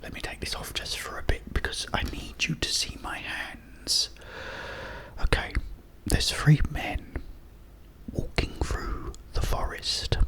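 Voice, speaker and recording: Whispering Cockney man, slow and soft. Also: rough recording. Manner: soft unvoiced whisper throughout, rambling and chatty. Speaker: ASMR channel host. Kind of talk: whispered ASMR chat.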